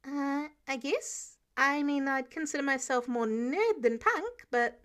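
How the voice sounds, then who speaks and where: Lady doing a nervous nerdy teen superhero kid, high voice, unsure, ends stuff like questions. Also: measured pace, fairly bright tone. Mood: nervous, sheepish. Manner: hesitant, questioning upspeak. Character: nervous, awkward, nerdy teenage boy superhero.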